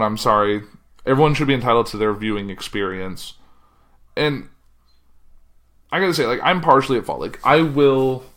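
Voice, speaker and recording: A man's voice: mid-range voice, a bit bright. Male solo podcaster, mid-range voice, casual and rambling, works out thoughts aloud and drops sentences halfway. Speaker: podcast host.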